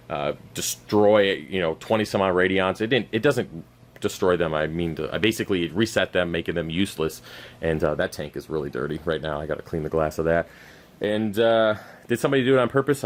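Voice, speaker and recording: A man's voice: deep voice, brisk. A worn-out man who is losing his voice and whose throat is going scratchy. He hosts his aquarium channel with a loose, rambling, unscripted update full of fillers and restarts, recorded on a camera mic as he walks around his cluttered home fish room. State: exhausted. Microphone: camera mic while walking around.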